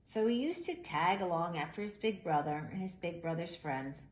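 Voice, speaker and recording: A woman reading a children's picture book aloud in an expressive, animated way, with deliberate emphasis. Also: lowish voice. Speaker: storybook reader. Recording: roomy echo, faint background noise.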